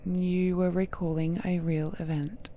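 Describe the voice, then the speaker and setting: Woman voicing a slow, brooding ancient cursed villainess in love. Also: lowish voice, level tone, close to an everyday voice. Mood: wounded, regretful. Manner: breathy, slow, half-whispered, dramatic pauses, soft sighs and chuckles. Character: ancient cursed villain, obsessive yandere lover, brooding, possessive. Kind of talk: intimate scripted romantic audio roleplay.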